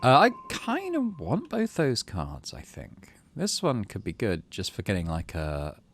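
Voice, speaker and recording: A man narrates and comments on a video game in a fairly low voice with animated intonation. He moves between story reading and casual musing, with faint noise in the recording. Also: measured pace. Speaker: gaming channel host. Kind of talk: game let's play, dramatic text narration and casual commentary.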